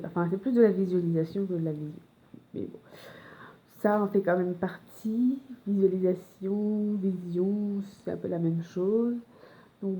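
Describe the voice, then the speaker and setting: A woman's voice: on the low side, warm tone, low-quality recording. A young Frenchwoman with a French accent, talking casually to camera in a hesitant way, with many fillers and restarts. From France. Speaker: vlogger. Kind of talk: personal casual talk to camera. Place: home in Montreal.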